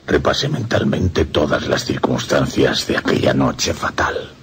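An actor playing an anguished, lovestruck priest in a radio play, speaking slowly and breathily with impassioned drama and a Castilian Spanish accent. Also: male voice, a bass voice, big pitch swings, a bit bright, slight background noise, exaggerated voice. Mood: weary, troubled. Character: anguished lovestruck priest recounting his haunted romance.

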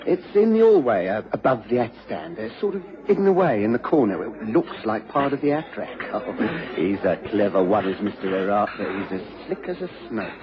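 An actor playing a chatty, folksy old-lag informer in a radio drama. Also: male voice, fairly low pitch, sing-song, brisk, few pauses. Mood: chatty, sly. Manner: chuckling, folksy, sly. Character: chatty, obliging small-time ex-crook and informer. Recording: dull lo-fi radio broadcast, crowd chatter.

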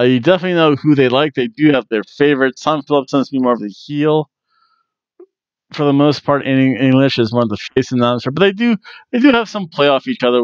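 A man's voice: medium pitch, clear, resonant tone, no echo, muffled recording. A man in his forties hosting a casual wrestling review from a room at home on a headset mic, chatty and jokey.

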